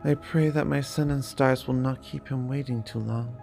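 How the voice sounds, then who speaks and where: A man acts out a gentle but newly confident young noblewoman in a fantasy tale. The speech is earnest and polite, set over music. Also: mid-range voice, steady tone, normal speed, creaky, lightly acted.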